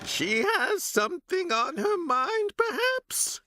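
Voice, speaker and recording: A man's voice: very high-pitched, very animated, takes it slowly, bright, forceful tone, soft background music, exaggerated voice. A man playing a genteel old presenter of a mystery theater, speaking cryptically and contemplatively. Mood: wry, cryptic. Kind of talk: theatrical audio drama banter.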